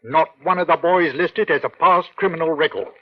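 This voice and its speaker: An actor playing a dutiful British police subordinate in an old-time radio crime drama, reporting in a deferential, clipped, keen way. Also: male voice, on the high side, normal speed, close to an everyday voice. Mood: businesslike. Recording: dull, lo-fi vintage radio recording.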